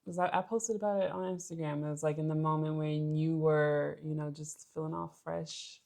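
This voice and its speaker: A young woman with an American accent co-hosting a relationship show, explaining things casually and haltingly as she thinks aloud. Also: deep voice, steady tone, vocal fry, a little mellow. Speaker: co-host of a couples' relationship show.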